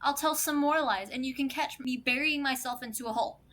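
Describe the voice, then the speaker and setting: A loyal but indignant second-in-command in an audio drama. Her voice is fairly high and forceful. She is blunt and emphatic, quick to turn sarcastic.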